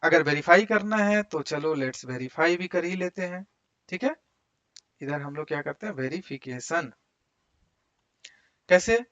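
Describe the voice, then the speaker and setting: Casting: Indian-accented man, higher voice, school lecturer who explains in steps and keeps asking check questions; slightly dull lo-fi sound. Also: animated, unhurried, pauses often, a bit bright. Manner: explains step by step with frequent rhetorical questions and check words. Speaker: maths teacher. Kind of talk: school maths lecture in Hindi mixed with English. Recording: dull lo-fi recording.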